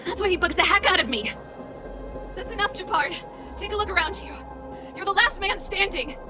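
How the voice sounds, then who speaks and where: Bold rebel big sister in a game, high voice, really insistent, music playing behind. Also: female voice, fairly quick. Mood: exasperated. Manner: impassioned, insistent. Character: bold, defiant older sister, rebel against authority. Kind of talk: dramatic video game voice acting.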